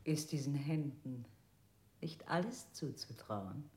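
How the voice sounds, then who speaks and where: Sneering interrogator, very low voice, hushed, echoey room. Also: female voice, expressive, slow. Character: cold, mocking female interrogator.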